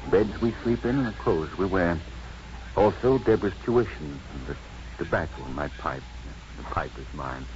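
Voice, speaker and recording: An actor playing a gentle, bookish, apologetic father in a 1950s radio drama, courteous, wordy and hesitant. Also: lowish voice, natural voice. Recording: dull, noisy 1950s radio recording.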